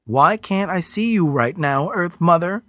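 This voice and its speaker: A terrified, devoted man begging an unseen goddess, his voice pushed up high and whimpering, words leaping up and down, as a male storyteller plays him. It is recorded dry and close. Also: huge pitch swings, a little slow, fairly bright tone, no room sound, heavily acted. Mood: pleading. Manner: pleading, whimpering, raised pitch. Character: frightened, devoted naked man in a forest.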